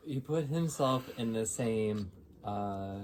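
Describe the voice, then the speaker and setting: A man from near Chicago co-hosting a casual album review, hesitant, with many pauses and fillers. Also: fairly low pitch, expressive, darkish tone, slight background noise. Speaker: music review co-host.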